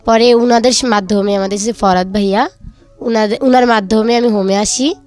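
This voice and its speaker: A woman's voice: medium pitch, steady tone, normal speed, darkish tone, soft background music. A young woman with a Bangladeshi accent telling her personal life story as an interview guest, a student. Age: young adult.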